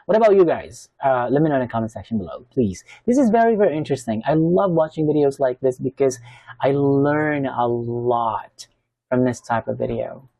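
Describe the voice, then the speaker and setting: A man's voice: mid-range voice, lively intonation, moderate pace, mellow, no room sound. A Filipino man in his thirties or forties, a native Ilonggo speaker with a Filipino accent, chatting casually as he reacts to a video and repeats facts back to himself. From Philippines.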